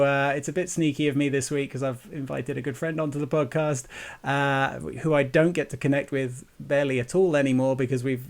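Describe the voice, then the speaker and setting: A man hosting an interview podcast, chatty and upbeat, often laughing. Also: medium pitch. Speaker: podcast host.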